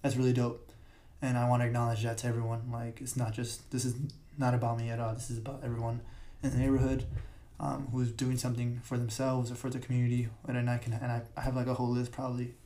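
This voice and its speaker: A young man hosting his own podcast, his voice raspy today, talking casually with many fillers and restarts, recorded in a big room. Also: medium pitch, monotone, fast talker, hardly any pauses, dark tone. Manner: rambling, filler-heavy, self-correcting. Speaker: podcast host. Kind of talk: casual solo podcast talk. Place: large echoey room. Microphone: podcast mic.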